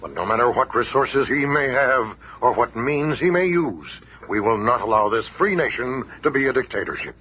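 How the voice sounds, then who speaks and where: An actor playing an earnest, commanding leader in a 1970s radio drama, speaking emphatically. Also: male voice, medium pitch, animated, moderate pace, few pauses, lo-fi sound, lightly acted. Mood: resolute, stern. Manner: declamatory, emphatic. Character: earnest, commanding male leader of heroic resolve. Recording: faintly noisy old radio show recording.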